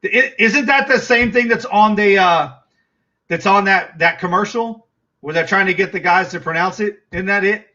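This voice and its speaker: A man's voice: high voice, normal speed, bright, forceful tone, dull audio. A middle-aged man with a Texas accent, chatting casually with viewers on a livestream, rambling with lots of fillers and restarts.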